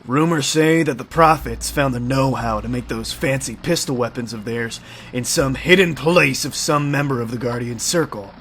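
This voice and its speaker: A man's voice: medium pitch, moderate pace, fairly bright tone, slight background noise, lightly acted. An actor playing a shrewd, folksy, grizzled leader of vampire-hunting outlaws in a fantasy audio drama, needling as he talks. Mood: sly, bargaining. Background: music.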